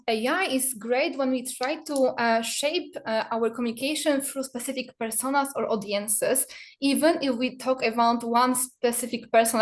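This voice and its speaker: Woman, higher voice, hesitant, lots of fillers, video call panel speaker. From Poland. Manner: hesitant, many fillers and restarts. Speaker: PR association board member. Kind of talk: panel discussion answer.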